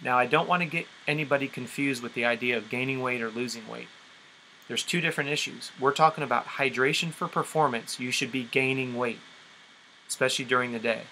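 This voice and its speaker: A man's voice: medium pitch, brisk, sharp tone, slight background noise, poor audio. A man giving an instructional coaching talk as a sports coach, direct and emphatic, explaining things with rhetorical questions. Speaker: endurance sports coach leading a conference call.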